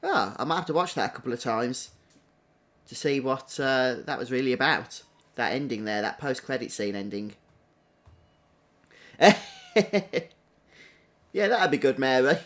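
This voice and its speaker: A man's voice: medium pitch, animated, a little slow, pauses often, a bit bright. A man giving casual live stream commentary as a game streamer. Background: game music behind the voice.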